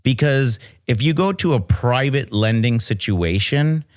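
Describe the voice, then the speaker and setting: A man's voice: mid-range voice, animated, unhurried. A man co-hosting a podcast in casual chat, rambling and restarting his sentences. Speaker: podcast co-host.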